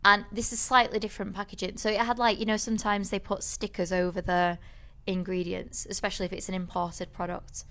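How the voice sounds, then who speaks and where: A woman's voice: mid-range voice, little pitch movement, a little fast, hardly any pauses, a bit bright. A woman co-hosting a podcast, telling a rambling story in casual chat, with many restarts. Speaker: podcast co-host.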